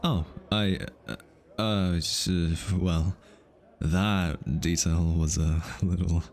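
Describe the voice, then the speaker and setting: Casting: male actor as a smitten, stammering assassin for ASMR audio drama; low register, unhurried delivery, nervous over-explainer. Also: steady tone, a little mellow. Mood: flustered, awkward. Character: easily flustered, lovestruck male hitman, awkward and analytical. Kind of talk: intimate ASMR audio roleplay.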